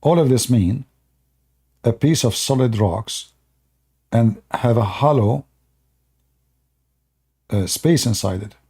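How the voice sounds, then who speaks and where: A man's voice: mid-range voice, expressive, slow pace, long pauses, dark tone, husky. A man whose first language is Arabic, explaining word origins in unscripted talk, hesitant with many fillers and restarts. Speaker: religious commentator.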